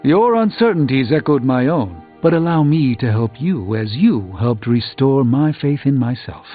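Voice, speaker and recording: Casting: male actor for grave wizard mentor in a game, mid-pitched, formal and philosophical, heard through a narrow tinny band. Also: expressive, moderate pace, close to an everyday voice. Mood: earnest counsel. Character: grave, learned, reassuring adult male sorcerer. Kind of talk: scripted video game character dialogue. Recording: tinny band-limited audio with music.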